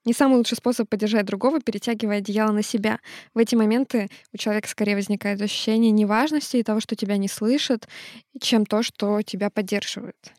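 A woman co-hosting a podcast, calmly explaining a topic and acting out short examples. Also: mid-range voice, fast talker, no echo, clean studio sound. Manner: calm, explanatory. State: sick, sore throat. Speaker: podcast co-host.

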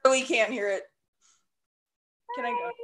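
A woman's voice: sits high, big pitch swings, normal speed, a bit bright. A woman co-hosting a casual podcast, bantering and swearing as she rambles through the outro while tired and up past her bedtime. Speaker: podcast co-host. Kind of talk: casual drunk chat.

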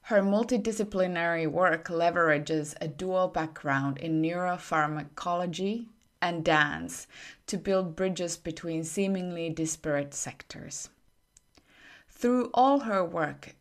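A woman with a very low voice introduces a podcast guest. Her tone is gravelly, and she reads in a measured, formal way. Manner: measured, formal read-out biography. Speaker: podcast host.

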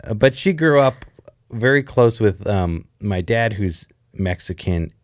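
A man's voice: fairly low pitch, animated, a little slow, dry recording, clean studio sound. A man co-hosting a podcast in casual chat, rambling and joking, often interrupting himself. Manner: rambling, self-interrupting, jokey. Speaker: podcast co-host.

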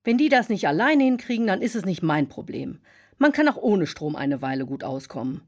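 A woman's voice: lowish voice, sing-song, a little fast. An actress playing a curt, stern, stubborn older woman in a German children's audio drama. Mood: stubborn refusal. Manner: curt, blunt.

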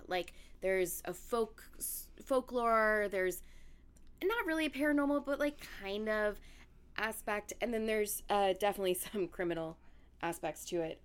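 A young woman with a husky, worn-out voice co-hosts a podcast. She chats loosely and laughs easily. She drifts into telling a story at a relaxed conversational pace. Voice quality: deep raspy voice from yelling. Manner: chatty, laughs while talking. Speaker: podcast co-host. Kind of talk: casual chat and storytelling.

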